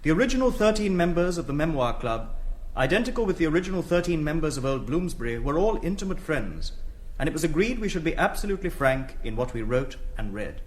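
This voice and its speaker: An actor playing a solemn, precise literary memoirist, reading formally in a declamatory stage style. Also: male voice, a little high, very animated, fast. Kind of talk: scripted theatrical reading. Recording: long hall reverb, faint noise.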